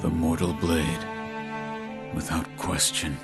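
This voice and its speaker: An actor playing a stoic, terse shinobi warrior in a video game, grave and restrained. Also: male voice, very deep voice, level tone, takes it slowly, loud music behind the voice, heavily acted. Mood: grim, resolute. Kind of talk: dramatic video game acting.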